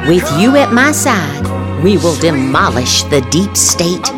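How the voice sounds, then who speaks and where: A woman's voice: on the low side, very animated, takes it slowly. An American woman hosting a show, reading social media posts aloud in a dramatic, emphatic way with exaggerated inflection. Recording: loud music bed behind the voice. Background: loud music.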